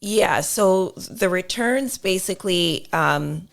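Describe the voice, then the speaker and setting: Low, gravelly woman explaining accounting. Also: expressive, slow pace. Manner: patient, explains with step-by-step examples, many fillers. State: slightly hoarse. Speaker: accountant guest.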